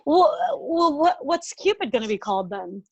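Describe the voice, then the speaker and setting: A woman's voice: sits high, huge pitch swings, normal speed, muffled recording, exaggerated voice. A woman in a sitcom table read playing a perky, sincere, chatty and eager matchmaker. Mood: excited. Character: Maggie, perky, sincere female rival matchmaker.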